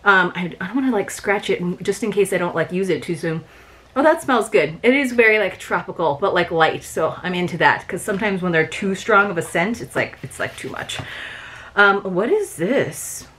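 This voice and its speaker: A woman's voice: fairly low pitch, animated, normal speed, a bit bright. Chatty woman unboxing products casually. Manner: chatty, informal, with frequent "like". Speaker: subscription box reviewer. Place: small furnished room at home, indoors.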